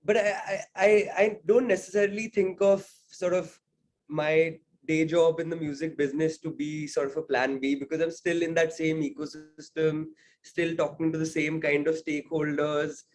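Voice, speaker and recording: A man's voice: fairly high pitch, little pitch movement, moderate pace, darkish tone. A young man from India, a singer-songwriter, answering interview questions thoughtfully, with frequent fillers and "right?" tags.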